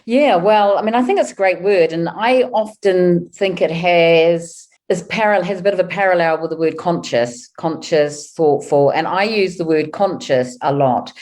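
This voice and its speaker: Nz lady in her 50s, low voice, chatty, thinking out loud as a podcast guest. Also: normal speed, hardly any pauses. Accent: New Zealand. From New Zealand. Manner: chatty, thinks aloud with fillers. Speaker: guest, wealth coach. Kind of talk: conversational interview answers.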